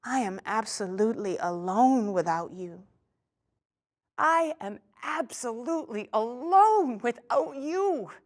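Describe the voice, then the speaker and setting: A woman's voice: on the high side, animated, slow pace. An actress performing a solemn, anguished woman's poetic farewell in a formal, declamatory theatrical style. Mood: mournful. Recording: faint music underneath.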